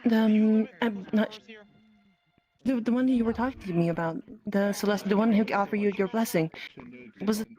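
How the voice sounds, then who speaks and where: A woman talking in an improvised roleplay, halting and flustered as she searches for words. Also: mid-range voice, steady tone, mellow, faint music behind, rough recording. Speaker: player in an online roleplay. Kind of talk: improvised in-character conversation.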